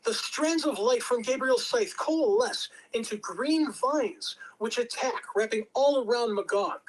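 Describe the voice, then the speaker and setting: A man's voice: sits high, low-quality recording. Man narrating an action script. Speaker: narrator reading stage directions. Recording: amateur home recording.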